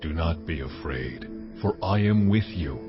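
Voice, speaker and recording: A man playing a solemn, commanding God in a dramatized scripture reading, speaking in a measured way. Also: low voice, lively intonation. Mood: solemn promise. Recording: dull recording under prominent music.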